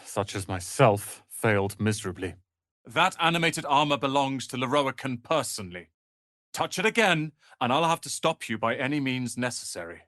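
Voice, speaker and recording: An actor playing a proud, bitter young tiefling wizard in a video game, speaking in a haughty, theatrical, sardonic way. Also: male voice, medium pitch, fairly bright tone, dry recording, clean studio sound. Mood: grave, regretful, then stern. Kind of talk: video game character acting.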